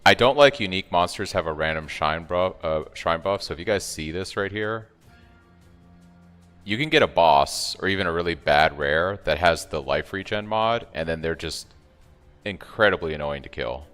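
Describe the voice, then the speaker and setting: A man with a very deep, smooth and soothing voice streams a game in relaxed, casual talk. He thinks aloud and answers his chat as he plays. His voice carries a long reverb, with faint music underneath. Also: moderate pace. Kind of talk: casual live-stream commentary.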